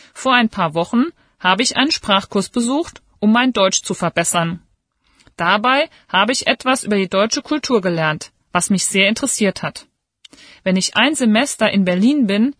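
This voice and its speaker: A young woman reading a scripted part as a student, clear and measured. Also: mid-range voice, a bit bright, no room sound, studio quality. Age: young adult. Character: young Dutch female student, 21. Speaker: student speaking in a listening exercise. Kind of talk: scripted reading for a listening test.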